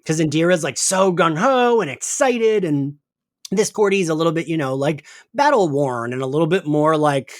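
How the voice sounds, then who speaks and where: A man's voice: fairly high pitch, expressive, normal speed, no room sound, clean studio sound. A man hosting a casual episode recap, speaking informally and often acting out paraphrased dialogue. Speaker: podcast co-host.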